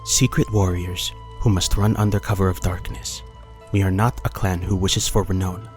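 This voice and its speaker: An actor playing Meineken, a calm and secretive male ninja who explains old lore in a measured, matter-of-fact way, in an audio drama. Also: low-pitched, close to an everyday voice. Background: music.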